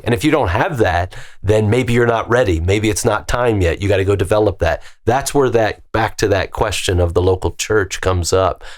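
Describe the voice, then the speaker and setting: Brief: West Virginian man, pastor, very low voice, emphatic, stacks up rhetorical questions. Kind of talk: podcast discussion.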